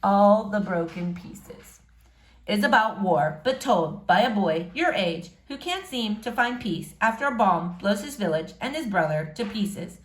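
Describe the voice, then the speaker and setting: A woman's voice: mid-range voice, animated, unhurried. A woman narrating a novel aloud expressively, like a teacher reading to pupils. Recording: roomy, echoing room sound.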